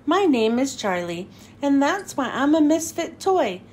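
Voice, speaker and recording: A woman's voice: high voice, very animated, unhurried, a little mellow, a little hiss or hum. A woman voicing a forlorn male jack-in-the-box sentry in a storybook, formal and plaintive.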